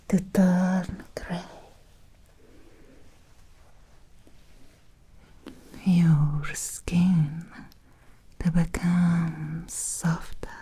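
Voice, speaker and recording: A woman's voice: sits low, mellow, heavily acted. Woman acting a whispering, obsessed vampire seductress. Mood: devoted, possessive. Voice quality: breathy, whispered voice. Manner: slow, intimate, deliberate with long pauses. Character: obsessed, seductive Victorian female vampire, hungry yet restrained. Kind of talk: ASMR roleplay, soft whispering.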